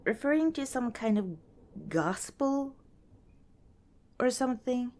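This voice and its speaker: A woman playing a kind, cautious young scavenger in an ASMR roleplay, speaking softly and hesitantly, as if chatting to the listener. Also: fairly high pitch, expressive, slow pace. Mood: candid, relaxed. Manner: soft, hesitant, conversational, with sighs and small laughs.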